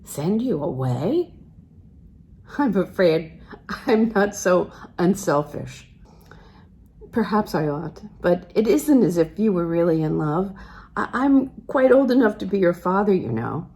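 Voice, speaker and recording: Woman reading as a tender, melancholy older man, softly mellow. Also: lowish voice, sing-song, measured pace. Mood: tender, wistful confession. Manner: gentle, hesitant, earnest. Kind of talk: literary audiobook dialogue.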